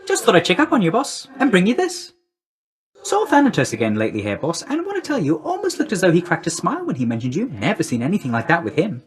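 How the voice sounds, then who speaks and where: A man's voice: high-pitched, wildly swooping pitch, fast talker. A man voicing a breezy, chummy young messenger god in a video game, with a slangy, glib, friendly manner. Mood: chatty, casual.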